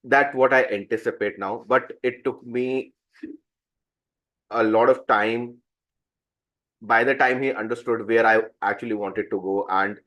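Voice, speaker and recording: A man's voice: fairly low pitch, a bit bright, rough recording. Hesitant man telling a travel story. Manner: hesitant, searching for words. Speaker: meeting participant. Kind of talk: personal anecdote.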